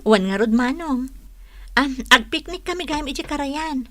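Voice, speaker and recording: Young female student, slightly high voice, helpful, troubled, grateful friend, radio drama. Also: normal speed, sharp tone, no echo. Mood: friendly.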